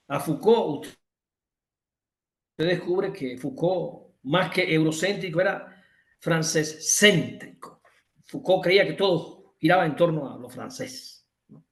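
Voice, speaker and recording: Cuban man lecturing emphatically, echoey room. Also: a little high. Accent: Cuban Spanish. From Cuba. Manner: emphatic, repeats and restates points, many self-corrections. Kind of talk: online university lecture.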